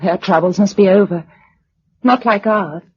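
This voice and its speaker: A woman acting in a British radio drama as a spirited, wry young novelist surviving a catastrophe, with a light, expressive manner and a British accent. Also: mid-range voice, a little slow, breathy edge. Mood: anxious, sombre. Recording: dull lo-fi radio recording.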